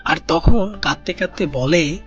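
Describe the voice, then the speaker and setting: A man's voice: fairly high pitch, unhurried, slightly rough, dull audio. Male narrator of children's tales over music. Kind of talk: scripted children's story narration.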